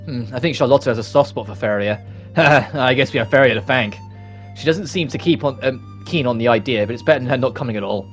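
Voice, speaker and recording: A man with a mid-pitched voice hosts a let's play. He reads visual novel dialogue aloud and adds his own casual commentary, with faint game music underneath. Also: fairly quick.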